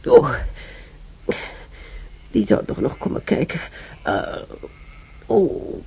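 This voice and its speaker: An actor playing a weary, ailing German recalling wartime in an intimate radio drama, halting and sighing, often muttering close to a whisper, with a gravelly voice. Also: male voice, a little high, huge pitch swings, darkish tone, exaggerated voice. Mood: suffering, weary.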